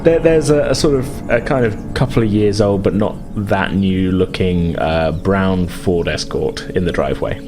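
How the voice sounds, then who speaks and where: A man's voice: low voice, moderate pace, few pauses, music in the background. A British man running a tabletop game, improvising his narration with hesitant, hedging phrasing. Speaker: game master.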